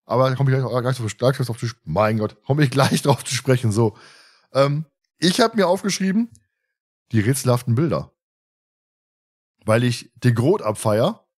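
A man hosting a casual fan podcast, chatting in an animated, joking way. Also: mid-range voice, moderate pace, pauses often. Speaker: podcast host.

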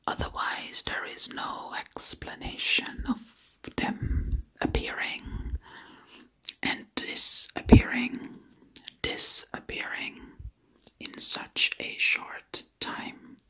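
A woman doing ASMR storytelling in a hushed, breathy whisper, lingering on words and repeating them. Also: medium pitch. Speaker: ASMR creator.

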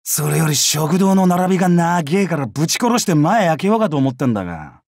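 Brash, swinging-pitched samurai brute. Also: male voice, animated, fairly quick, darkish tone, no echo, studio quality. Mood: menacing. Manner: rough, swaggering delinquent-like speech. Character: brash, bloodthirsty, boisterous young male samurai warrior. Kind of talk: Japanese mobile game character voice lines.

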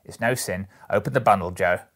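Man acting a loud, brazen, defiant charwoman, gravelly. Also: deep voice, fairly quick, full character voice. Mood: bold, demanding. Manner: loud, scornful, emphatic. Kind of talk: Victorian storybook character voice.

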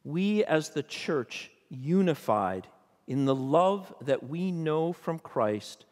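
An older man, a bishop, preaching a sermon from a pulpit in a church sanctuary, measured and questioning in tone. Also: a little high, big pitch swings, a little mellow. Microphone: pulpit microphone. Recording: long church reverb.

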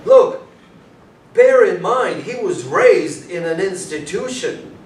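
Actor as earnest young detective, slow, echoey. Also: male voice, high-pitched, big pitch swings, exaggerated voice. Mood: pleading. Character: earnest young male police detective, protective, anxious. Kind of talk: staged play reading. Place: small hall, staged reading before an audience. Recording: distant, echoey room with background hiss.

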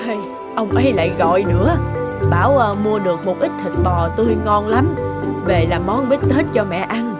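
A woman plays an older mother in an animated cartoon, devoted and shrewd. She talks in a middle register, chatty and gently admonishing. Music plays loudly behind the voice. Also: medium pitch, normal speed, natural voice. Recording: loud background music over voice.

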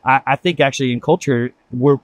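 A man's voice: mid-range voice, fairly quick, dry recording. A man speaking as a guest on a roundtable panel, hesitant and often repeating his words. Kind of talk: panel discussion.

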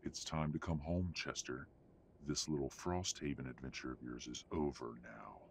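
A man playing a haughty, middle-aged werewolf patriarch in an audio drama, speaking in a formal, measured, condescending way. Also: very deep voice, steady tone, vocal fry, a little hiss or hum. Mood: cold, smug. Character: haughty, domineering old-money werewolf patriarch father. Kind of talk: audio roleplay drama acting.

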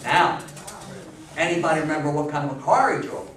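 A man in his sixties giving an animated, informal talk to an audience, exclaiming and asking them questions with enthusiasm. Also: on the high side, fairly quick, a crowd in the background. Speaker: lecturer. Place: indoor meeting room before a seated audience. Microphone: distant camera mic. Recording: echoey room, distant mic, audience noise.

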